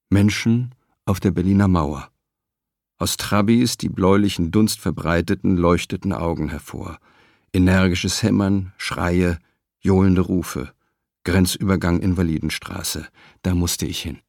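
A man reading a first-person novel aloud, measured and reflective, close on the microphone. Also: low-pitched, creaky, darkish tone, no echo. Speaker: audiobook reader. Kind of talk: scripted narration.

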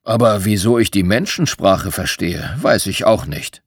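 A man voicing a kindly old bear spirit living in a flying rug, speaking gently and calmly. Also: on the low side, measured pace, slightly rough, no room sound, clean studio sound, pitched below the performer's own voice. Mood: gentle. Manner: gentle, chuckling, calm.

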